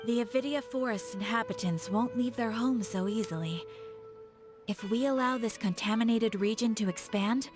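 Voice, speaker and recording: An actress playing a composed woman giving an urgent request, speaking in a measured, earnest way. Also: medium pitch, natural voice. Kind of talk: video game voice acting.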